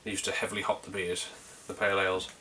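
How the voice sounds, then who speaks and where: A man's voice: low voice, level tone, quick pace, background noise. A man with a North Yorkshire accent chatting casually through a beer review, rambling a little with plenty of ums and restarts. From North Yorkshire, England.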